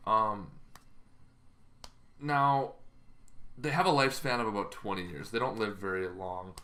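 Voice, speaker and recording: Male host, slightly low pitch, crisp bright edge. Unscripted explainer delivery, hesitant and meandering. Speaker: YouTube host giving game lore advice.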